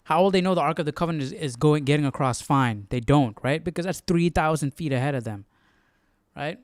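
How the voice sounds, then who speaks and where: Man, casual study host, explanatory, questioning, smooth clear voice, dry close sound. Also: a little high, brisk, no echo. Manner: explanatory, asks himself questions. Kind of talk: casual live study commentary.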